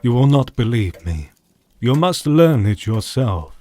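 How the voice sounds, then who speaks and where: An actor playing a grave older doctor and mentor in an audio drama, speaking formally and deliberately. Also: male voice, mid-range voice, very animated, darkish tone. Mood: solemn, instructive. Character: grave older male doctor and mentor, solemn vampire hunter.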